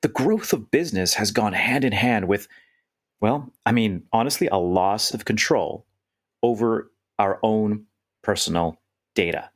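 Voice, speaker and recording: A man's voice: fairly low pitch, a little slow. A middle-aged man co-hosting a wine podcast, who talks in a loose, rambling, self-deprecating way. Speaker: podcast co-host, wine expert. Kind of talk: casual podcast chat.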